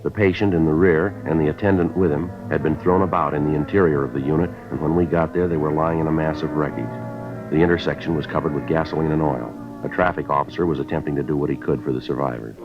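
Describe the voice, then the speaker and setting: An American actor playing a terse, deadpan police detective who narrates his case in a 1950s radio drama style. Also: rumbling low, quick pace. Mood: grim, matter-of-fact. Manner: deadpan, matter-of-fact. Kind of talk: 1950s radio acting, clipped scripted narration. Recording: dull vintage radio recording with music.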